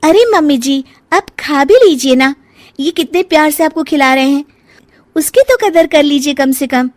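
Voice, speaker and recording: महिला की आवाज़ में प्यार से समझाती जवान बहू. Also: female voice, sits high, animated, moderate pace, no room sound, pitched above the performer's own voice. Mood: coaxing, chiding. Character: caring young daughter-in-law Nisha, gently chiding. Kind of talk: cartoon moral story character acting.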